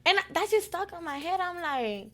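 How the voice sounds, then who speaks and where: A young woman from Puerto Rico, chatting casually as a guest, relaxed and giggly with lots of fillers. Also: extremely high pitch, normal speed. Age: young adult. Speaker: guest musician. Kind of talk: casual interview chat.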